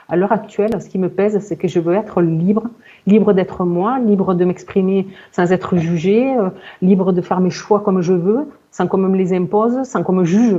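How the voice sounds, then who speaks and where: A woman in her forties giving a halting personal account in conversation, searching for words and often repeating herself. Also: lowish voice, dark tone, lo-fi sound. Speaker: client in a hypnosis session interview.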